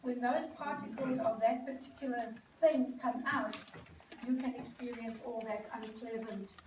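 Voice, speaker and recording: A South African woman giving a casual talk to an audience at an event, explaining things plainly with frequent ums and quick self-corrections. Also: fairly high pitch, fairly even intonation. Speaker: speaker at a celebration event. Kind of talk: informal talk to a live audience. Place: event venue indoors. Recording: echoey room, mic far off, noisy.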